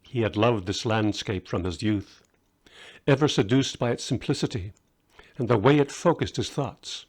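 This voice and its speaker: A man's voice: lowish voice, moderate pace, husky. A man reading his own short story aloud as a scripted audiobook narration.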